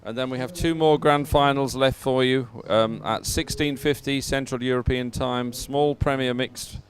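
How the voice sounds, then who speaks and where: A man's voice: mid-range voice, fairly even intonation, normal speed. A man presenting live coverage of a sporting event to viewers, talking as a broadcast host.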